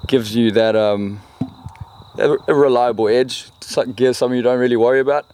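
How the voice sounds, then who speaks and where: A man's voice: mid-range voice, fairly even intonation, normal speed, darkish tone. A South African man speaking as a guest in an informal interview. He tells things casually and with a wry, joking edge. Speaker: interview guest, spearfishing guide and retailer. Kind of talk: casual chat.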